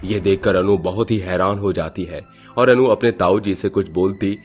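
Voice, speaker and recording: Low-voiced male horror story narrator. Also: moderate pace, soft background music.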